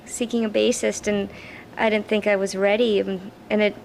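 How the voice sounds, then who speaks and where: A young Canadian woman with a young-sounding voice, the bassist of a rock band, giving earnest, casual answers in an interview. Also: level tone, normal speed, creaky, darkish tone, rough recording. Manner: earnest, laughs easily. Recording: raw camcorder audio with background noise.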